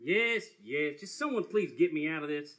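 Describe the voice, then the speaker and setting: A man playing an exasperated, defensive rogue in a live comic radio play, with a rough-edged voice. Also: fairly high pitch, normal speed, crowd noise, lightly acted. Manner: pleading, defensive, excitable. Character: Calvin, exasperated roguish brother accused of stealing. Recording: live theatre stage recording.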